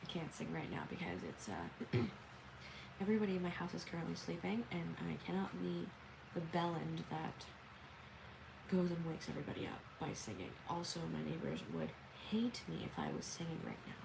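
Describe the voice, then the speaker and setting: A woman's voice: lowish voice, very animated, normal speed, vocal fry, noisy background. A young woman from Canada hosting a casual late-night live stream, chatting playfully with viewers while she eats at home. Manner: chatty, playful, hums and sings snatches. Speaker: livestream host.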